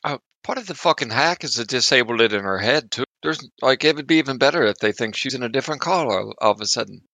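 A man's voice: mid-range voice, animated, quick pace, sharp tone, dry recording. A man chatting as a player in a tabletop roleplaying game, rambling with casual swearing.

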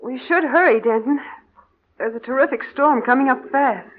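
An actress playing an anxious, solicitous wife in an old-time radio horror drama, with proper, earnest diction. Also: female voice, high voice, moderate pace, lightly acted. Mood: frightened, urgent. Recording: muffled, noisy old radio recording.

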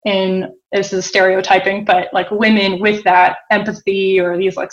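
A woman with an American accent talks in a loose, conversational interview style. Her voice sits at a medium pitch and she acts out other people's remarks as she explains. The recording is a little dull and lo-fi. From United States (Boston). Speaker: interview guest, tech entrepreneur. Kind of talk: casual interview talk.

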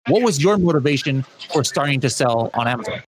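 A man's voice: medium pitch, expressive, brisk, slight background noise. A man hosting a podcast in casual interview chat, rambling with many fillers and restarts. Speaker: podcast host.

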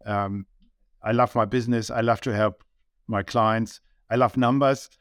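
A man's voice: on the low side, steady tone, a little slow, clean tone, no echo. German-accented man, dry close sound. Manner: earnest, many small restarts. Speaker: guest, business owner. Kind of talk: casual interview talk.

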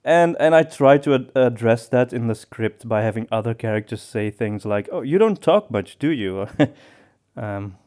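A man's voice: mid-range voice, lively intonation, unhurried, darkish tone. A man hosting a podcast, talking casually in a hesitant, conversational way. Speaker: podcast host.

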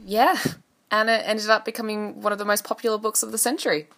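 A woman making quick, joking remarks in a casual podcast chat. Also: medium pitch, little pitch movement, a bit bright.

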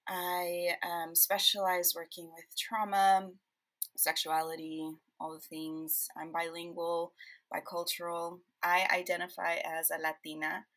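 A woman from Honduras speaks as a podcast guest in a slightly low voice. She is a counselor, and her delivery is casual and conversational. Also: a bit bright. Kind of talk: casual self-introduction.

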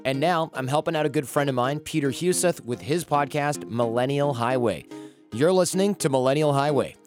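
A man's voice: medium pitch, fairly quick. A man reading a podcast promo in a middle-range voice, with dry, self-mocking jokes. Faint music plays under him. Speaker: guest promo voice.

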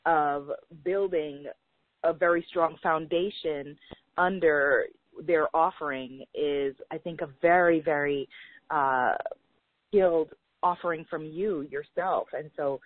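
A woman with a Guyanese American accent, hosting an interview in a reflective way, often repeating words and slowing down for emphasis. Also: low voice, no echo. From United States. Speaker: podcast host. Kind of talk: warm interview chat. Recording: tinny phone-like line, dry.

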